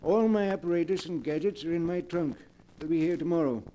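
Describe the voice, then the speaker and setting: An actor playing a proud, shady professor who fakes ghosts for hire, in theatrical, measured 1940s radio mystery style. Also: male voice, a little high, warm tone, slight background noise, natural voice, rough recording. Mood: cautious, calculating.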